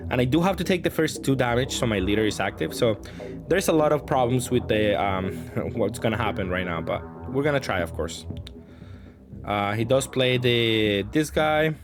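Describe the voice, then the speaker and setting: Male gameplay commentator, medium pitch, casual and hesitant, reasoning through moves over clearly audible music. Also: lively intonation, normal speed. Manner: hesitant, thinking aloud. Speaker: card game player commentating on own matches.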